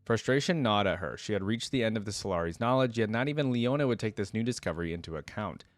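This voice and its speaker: A man's voice: on the low side, expressive, fast talker, no room sound. A man giving casual reaction commentary and reading fantasy lore aloud as the host of a reaction video.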